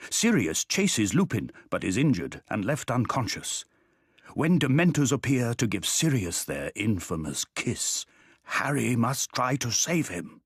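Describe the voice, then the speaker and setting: Husky, animated male story narrator. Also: mid-range voice, no room sound, studio quality. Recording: faint music behind the narration. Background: soft background music.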